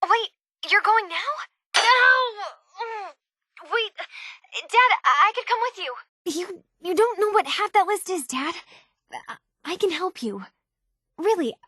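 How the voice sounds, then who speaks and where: A woman's voice: very high-pitched, animated, slow, long pauses, pushed and bright, no room sound, heavily acted. An actress playing an earnest young woman tinkerer searching for her identity in a video game, speaking in a natural, slightly hesitant conversational way. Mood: pleading. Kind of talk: naturalistic game dialogue acting.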